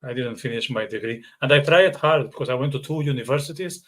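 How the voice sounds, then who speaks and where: A man with a Portuguese accent hosting an informal interview over a video call. He talks in a relaxed way, with a few fillers, and his pitch sits in the ordinary middle range. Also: fast talker. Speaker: podcast host.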